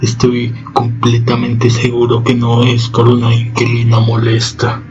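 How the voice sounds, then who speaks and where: An actor playing a radio show host who asks a caller calm, probing questions. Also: male voice, mid-range voice, a little slow, dull audio, music in the background, natural voice. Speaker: radio host taking a call. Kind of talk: radio drama acting.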